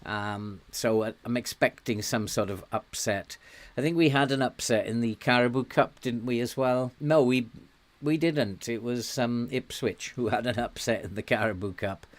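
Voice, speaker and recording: A man live-streaming a management game, thinking aloud with frequent ums and soft chuckles while greeting viewers; he has a sore throat and is losing his voice. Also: mid-range voice, moderate pace.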